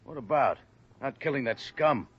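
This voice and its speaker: A man's voice: medium pitch, lively intonation, normal speed, slightly rough. A man playing a loyal, resentful cab driver with an American accent, earnest and bitter, protective in manner, in a 1950s radio drama. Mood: protective, indignant. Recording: muffled, hissy vintage radio recording.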